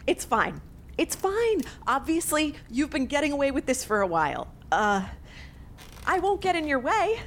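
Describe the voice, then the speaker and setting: A woman's voice: high voice, big pitch swings, moderate pace, a little hiss or hum. An actress playing a secretly murderous young woman who feigns innocence among old friends in an audio drama. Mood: pleading, nervous.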